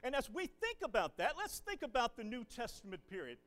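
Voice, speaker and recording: A man, a visiting preacher leading a Bible class and prayer in a folksy, animated way. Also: extremely high pitch, a little fast. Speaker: guest preacher. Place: church building.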